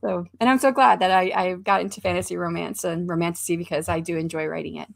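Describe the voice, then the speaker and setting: A woman hosting a casual interview over a video call, chatty and quick to laugh off her own stumbles. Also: lowish voice, steady tone, gravelly, no echo. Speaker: host.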